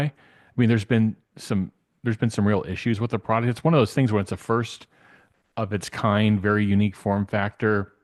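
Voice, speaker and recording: A man's voice: fairly low pitch, dry recording, studio quality. A man giving casual, off-the-cuff commentary as an interview guest, often rephrasing as he goes.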